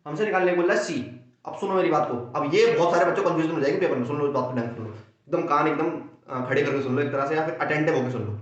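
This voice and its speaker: A young man from India teaching a maths exam-prep lesson with a sore throat, energetic and playing both himself and his students. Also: on the high side, rapid-fire. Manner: energetic, acts out students' questions and answers himself. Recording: echoey room, dull lo-fi sound.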